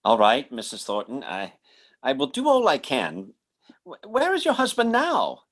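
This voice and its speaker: A man plays an earnest male mind reader from an old radio mystery. He speaks in halting bursts, with extremely animated swings of pitch. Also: full character voice. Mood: resolute. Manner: halting, stammering. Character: earnest male stage psychic turned urgent helper. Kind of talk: old-time radio drama acting.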